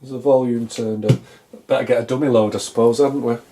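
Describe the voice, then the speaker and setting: A man's voice: mid-range voice, fairly even intonation, a little fast, dark tone, a little hiss or hum. A middle-aged British man giving casual, unscripted repair commentary, musing aloud with dry, self-deprecating humour. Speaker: electronics repair hobbyist, presenter. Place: small indoor home electronics workshop, at a bench.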